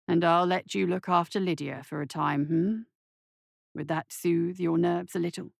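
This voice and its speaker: A woman playing a calm, sinister male surgeon in an audiobook, polite and soothing, with a measured, softly commanding manner. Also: lowish voice, vocal fry, no echo, clean studio sound, voice pushed down. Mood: coaxing.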